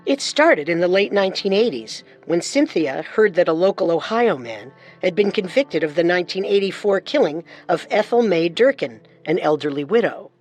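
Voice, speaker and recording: A woman co-hosts a scripted true-crime story as narrator. Her pitch is a little low but swings widely, with music faintly underneath. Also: lively intonation, moderate pace, hardly any pauses, darkish tone. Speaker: narrator, co-host.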